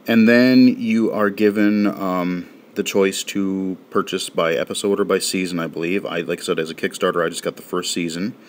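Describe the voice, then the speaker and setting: A man casually talking through an audio game on his phone, relaxed and chatty with plenty of fillers. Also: sits low, moderate pace, hardly any pauses. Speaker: game reviewer. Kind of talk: casual game walkthrough commentary.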